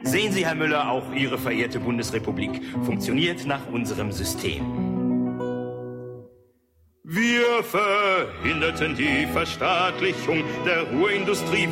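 A man performs political satire over prominent musical accompaniment. He moves between singing and spoken patter in an unhurried, slightly high voice, sounding smug and sardonic. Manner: sardonic, addressing the listener as a smug capitalist. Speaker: satirical singer-songwriter. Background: musical instrument accompaniment.

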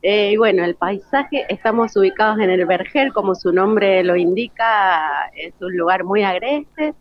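A woman's voice: medium pitch, lively intonation, measured pace, no room sound. A woman with an Argentine accent works as a radio host, doing live interviews on a remote broadcast. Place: school event, remote broadcast. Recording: dull lo-fi radio broadcast link.

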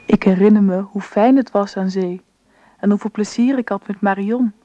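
An actress as a grown daughter narrating her memories in a radio play. The voice is soft and dark at a middling pitch, reflective, on a slightly muffled recording. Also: normal speed, natural voice.

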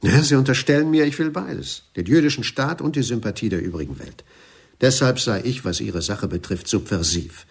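An idealistic professor in a radio drama. His husky, mid-pitched voice is earnest and lecturing, with stubborn indignation. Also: animated, normal speed. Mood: earnest, indignant. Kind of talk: German radio play acting.

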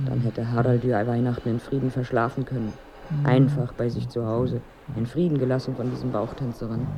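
An actress in a radio drama playing a wry, frustrated wife who needles and broods aloud. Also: female voice, rumbling low, noisy background. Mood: brooding, resentful. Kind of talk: radio drama dialogue and monologue.

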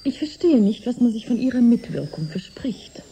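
A guarded, scornful conspirator in a science fiction radio drama. Her very mellow, low voice is lively in pitch and full of cool, cutting mockery, with faint noise behind it. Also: normal speed, creaky. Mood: mocking. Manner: cutting, mocking.

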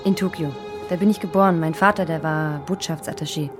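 An actress playing a young, self-assured policewoman in a German radio drama, brisk, cool and wry. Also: deep voice, fairly even intonation, over music, natural voice. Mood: cool, confident. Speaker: actor.

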